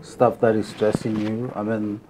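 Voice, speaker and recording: A man's voice: lowish voice, level tone, measured pace, dark tone, a little hiss or hum, rough recording. A middle-aged man hosting a casual interview, putting questions in a conversational way. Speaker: interview host.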